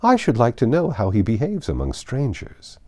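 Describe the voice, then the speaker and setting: Man reading as a genial, animated army gentleman. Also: mid-range voice, normal speed, pitched above the performer's own voice. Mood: eager. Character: genial, curious military gentleman. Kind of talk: storybook character reading.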